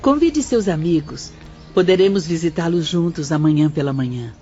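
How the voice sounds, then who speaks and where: An actress playing a kindly, serene female spirit guide who teaches gently and formally in an audio drama. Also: low voice, lively intonation, moderate pace. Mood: gentle, guiding. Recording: dull audio with faint music.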